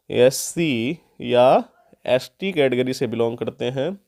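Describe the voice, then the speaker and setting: A man's voice: mid-range voice, a little mellow, no echo, studio quality. A man presenting an informational explainer to viewers, restating key points as he goes. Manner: repeats and restates key points, often in Hindi and English. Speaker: presenter of an exam guidance channel.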